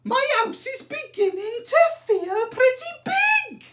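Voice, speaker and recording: Man putting on a shrill, excitable little old lady. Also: takes it slowly, very noisy, full character voice. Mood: delighted relief. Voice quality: shrill falsetto. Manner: wailing, exclamatory, theatrical.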